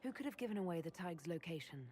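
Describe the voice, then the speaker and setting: Composed female commander , played by an actress, lower pitch, measured game dialogue over slight ambience. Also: expressive, dark tone, a little hiss or hum, natural voice. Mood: serious. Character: composed, serious female leader and hero. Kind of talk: video game dialogue acting.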